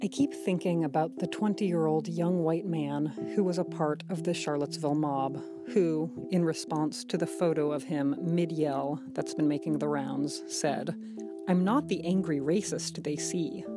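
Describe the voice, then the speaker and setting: A woman reading her own essay aloud in a measured, earnest way. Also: deep voice, fairly even intonation. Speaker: guest writer and therapist. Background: prominent plucked guitar music.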